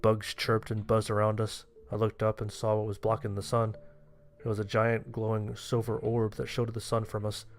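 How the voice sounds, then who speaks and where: A man's voice: on the low side, monotone, moderate pace. A man narrating a first-person horror story, playing the main character himself. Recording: music under the voice. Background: music.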